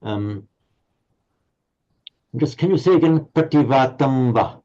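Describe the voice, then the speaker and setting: A man's voice: medium pitch, animated, takes it slowly, mellow. A man teaching a Pali class online, explaining patiently and often stumbling over repeated words. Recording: slightly muffled audio.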